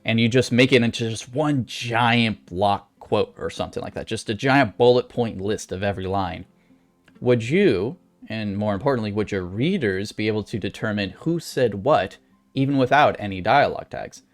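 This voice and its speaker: Low-pitched man, casual advice talk. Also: moderate pace, soft background music. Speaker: author giving writing advice. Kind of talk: casual instructional vlog talk.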